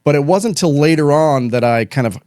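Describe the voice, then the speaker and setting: A man's voice: a little high, animated, darkish tone, dry recording. A middle-aged man from Chile, an attorney guest telling his career story in casual, laid-back talk, with a studio microphone. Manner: fast, casual, says "dude" and "man".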